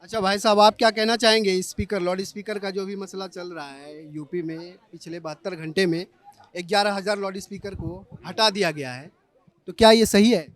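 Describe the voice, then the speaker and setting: A man's voice: high-pitched, steady tone, moderate pace. A man working as a street reporter, putting questions to members of the public in vox pop interviews.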